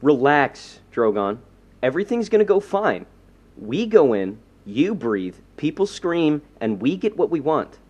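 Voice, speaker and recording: Breezy, very animated villain man acting. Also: on the high side, unhurried, highs cut off. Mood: cocky, breezy. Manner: breezy, teasing. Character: cocky, breezy, reckless male supervillain. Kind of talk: audio drama dialogue.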